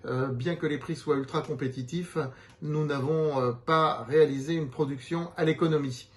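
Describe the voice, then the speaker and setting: A man's voice: a little high, steady tone, moderate pace. A man giving an unscripted promotional presentation as a publisher's representative, explaining things in a hesitant way.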